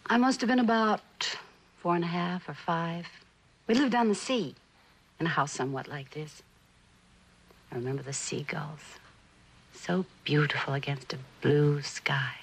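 A middle-aged, clinging mother from a vintage television suspense drama. Her voice is husky and moves within a narrow range. She speaks with genteel tenderness, hesitant and pleading. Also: low voice, steady tone, a little slow, long pauses, heavily acted. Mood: wistful. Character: genteel, doting, clinging mother longing for her lost daughter.

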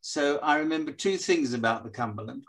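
A man's voice: fairly high pitch, lively intonation, brisk. An older man in the audience recalling stories with amusement on a video call. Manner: anecdotal, amused. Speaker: audience member.

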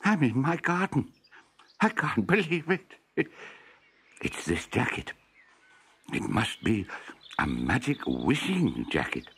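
A man's voice: medium pitch, very animated, slow pace, pauses often, fairly bright tone, breathy edge, voice pushed up, exaggerated voice. A man voicing a cheerful, absent-minded professor in a storybook, stammering and exclaiming as he goes. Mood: amazed.

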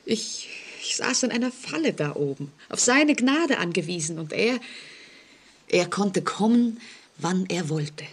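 Anxious lone woman, husky mid-range voice, halting suspenseful delivery, 1940s radio drama. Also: medium pitch, animated. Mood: haunted, anxious. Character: frightened, haunted woman living alone, anxious and distrustful. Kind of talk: 1949 German radio play acting. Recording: vintage 1940s radio recording.

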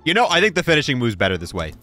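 A man's voice: medium pitch, huge pitch swings, racing, over music. A man giving casual, chatty, opinionated video game commentary, repeating himself for emphasis. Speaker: gaming YouTuber reviewing game content.

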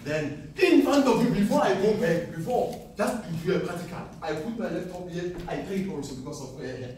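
A man's voice: high voice, moderate pace, far from the mic, slight background noise. Boastful young man speaking in an echoey classroom. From South Africa. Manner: animated, boastful, laughs often. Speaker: forex trading speaker. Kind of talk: live seminar talk to students. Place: classroom with seated audience. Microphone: far camera, no mic.

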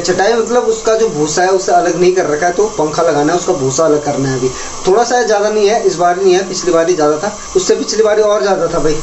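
Young male hill-village vlogger, relaxed chatty talk to the phone camera, slight noise behind. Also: high-pitched, dull audio. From Uttarakhand hills, India. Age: young adult. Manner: chatty, keeps calling viewers brother. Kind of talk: casual vlog talk to camera. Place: village house and yard, indoors and outdoors. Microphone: phone camera held at arm's length.

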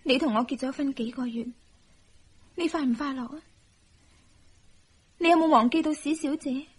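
Actress as a high-voiced, sorrowful young wife. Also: female voice, normal speed, close to an everyday voice. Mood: sad, pleading. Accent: Hong Kong Cantonese. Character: young devoted, self-sacrificing, sorrowful newlywed wife. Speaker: radio drama actress. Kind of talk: radio drama acting.

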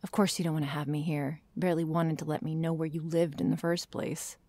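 A woman's voice: deep voice, flat delivery, fast, a little mellow, no echo. A woman playing a prickly, sarcastic but secretly shy vampire girl in an ASMR roleplay, hesitant and sighing, often dropping to a whisper. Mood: sheepish. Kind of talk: ASMR roleplay addressed to the listener.

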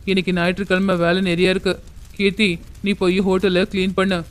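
A man's voice: high voice, completely flat delivery, brisk, close to an everyday voice. A man playing a stern, impatient hotel owner in a cartoon story, commanding and scolding. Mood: bossy.

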